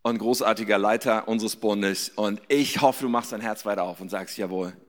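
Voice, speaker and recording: A man's voice: on the low side, steady tone, normal speed. A man hosting a church service, giving an effusive, emphatic and casual stage introduction. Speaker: church host introducing preacher. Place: large church hall. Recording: echoey hall with long reverb.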